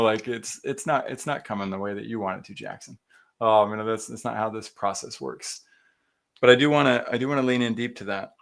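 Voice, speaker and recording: A man's voice: fairly low pitch, vocal fry, a bit bright. A man hosting a podcast, talking earnestly and animatedly in casual chat, full of fillers and quoted self-talk. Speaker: podcast host.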